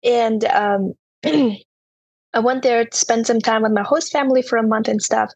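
A woman's voice: fairly high pitch, fairly even intonation, unhurried, a little mellow, slightly rough, no echo. A young woman from Turkmenistan, a podcast guest earnestly telling her personal story in casual talk.

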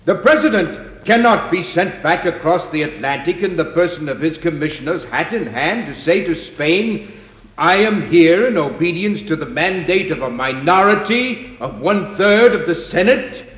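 Fired-up old-time radio senator, highish voice, big echoey chamber, formal speechifying. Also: male voice, a bit bright. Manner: declamatory, emphatic, formal. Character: impassioned male senator orating for a treaty. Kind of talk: 1940s radio drama oratory. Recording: echoey hall, muffled old radio recording.

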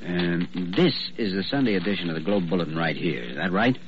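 An actor playing an earnest, determined young hospital doctor who reasons thoughtfully aloud in 1940s radio drama style. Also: male voice, deep voice, animated, fairly quick. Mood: excited deduction. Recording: dull, hissy old radio recording.

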